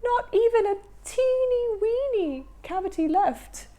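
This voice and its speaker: A woman voicing a tiny, excitable male tooth troll in a storybook reading, frantic and shouty. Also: very high-pitched, unhurried, pitched above the performer's own voice. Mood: indignant. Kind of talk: storybook character voice.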